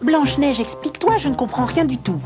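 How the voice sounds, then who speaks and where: A woman voicing an anxious, excitable cartoon character who exclaims often, in a dubbed cartoon. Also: high voice, brisk. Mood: bewildered. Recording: music playing behind.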